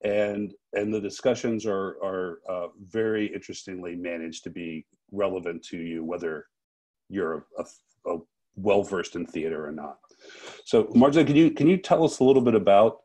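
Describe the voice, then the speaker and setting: A man hosting an unscripted interview, speaking haltingly and rephrasing his questions as he goes. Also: low-pitched, warm tone. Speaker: interviewer and festival host.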